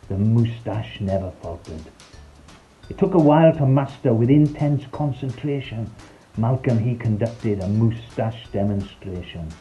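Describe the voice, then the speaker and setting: A man's voice: on the low side, mellow, highs cut off. A man reading a scripted, rhyming children's story in a playful, theatrical storytelling manner. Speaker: storyteller, narrator. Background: background music.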